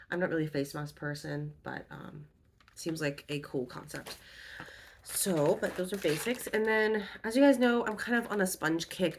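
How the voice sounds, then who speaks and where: A young woman vlogging about makeup, her voice a bit off as she gets over an illness, chatty and rambling with lots of ums and self-corrections. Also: sits low. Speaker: beauty vlogger. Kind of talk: casual haul and review chat.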